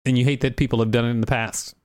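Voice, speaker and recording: A man's voice: medium pitch, little pitch movement, very fast, darkish tone, clear, resonant tone, no echo, clean studio sound. A man co-hosting a podcast, reading an article aloud and chatting casually. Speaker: podcast co-host.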